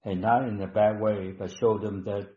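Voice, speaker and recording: A low-pitched man leads a live healing webinar, talking slowly and instructively with frequent ums and repeated phrases. The sound is dull and roomy, like a muffled online call. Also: flat delivery. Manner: slow, instructive, repeats words, many ums. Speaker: webinar host and healer. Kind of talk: live stream healing session.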